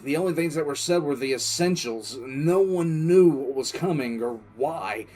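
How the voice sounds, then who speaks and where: A man's voice: a little high, expressive, normal speed, a little mellow. Man voicing a grave commissar over music. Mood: tense, emphatic. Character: war-weary male military commissar recounting a tense crisis. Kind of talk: dramatic first-person audio drama narration. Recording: music under the voice.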